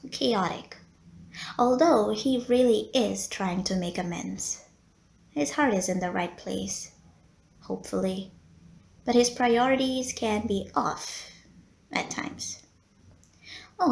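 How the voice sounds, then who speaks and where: A woman's voice: mid-range voice, vocal fry, reverberant. A woman playing a polite, teasing female vampire who runs a blood bank, in an audio roleplay spoken to the listener. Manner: genteel, courteous, teasing. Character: polite, flirty female vampire running a blood bank, playful and long-suffering. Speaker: medical center receptionist.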